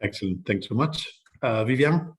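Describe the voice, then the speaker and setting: A man's voice: mid-range voice, expressive, moderate pace, a little hiss or hum. A man with a mid-range voice leads an online class as its instructor. He talks in an informal, conversational way and addresses students directly. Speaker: course instructor.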